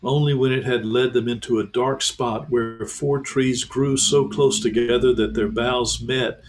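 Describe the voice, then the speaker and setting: A man, a pastor, reading a children's novel aloud in a calm, measured way. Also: mid-range voice, low-quality recording. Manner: calm, measured, slows for tension. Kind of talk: storybook read-aloud.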